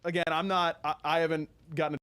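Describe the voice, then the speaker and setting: A man's voice: a little high, little pitch movement, fast, fairly bright tone, smooth, no echo. A man hosting a casual video game showcase, commenting in an easygoing, approving way. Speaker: show host.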